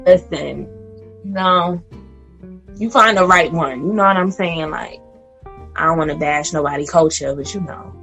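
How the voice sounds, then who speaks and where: A woman in her late twenties chatting casually as a podcast guest, animated and laughing, sometimes talking straight to the listeners. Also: deep voice, unhurried, pauses often, faint music behind. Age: 20s.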